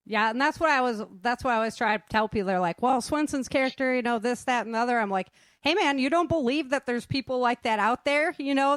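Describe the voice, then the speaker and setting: A woman's voice: fairly high pitch, dry recording. A woman hosting a casual interview, talking in an informal, encouraging way. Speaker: host interviewing her audio drama cast.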